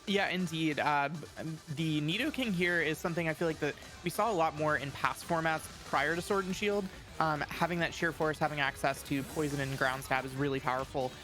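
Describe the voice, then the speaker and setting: A man with a fairly high voice explains the strategy of a competitive match, talking fast and filling his pauses with little fillers. Clear music runs under his voice throughout. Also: pushed and bright. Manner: eager, explanatory, many "uh" fillers. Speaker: esports co-commentator. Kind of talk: color commentary on a match. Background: background music.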